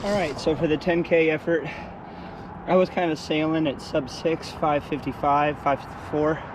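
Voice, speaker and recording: A man's voice: steady tone, moderate pace. A man in his thirties, losing his voice, talking casually and thinking aloud while reviewing running shoes outdoors on an action camera. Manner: low-key, thinking aloud. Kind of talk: casual vlog commentary. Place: outdoors on a porch and dark streets. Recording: camera audio with loud outdoor noise.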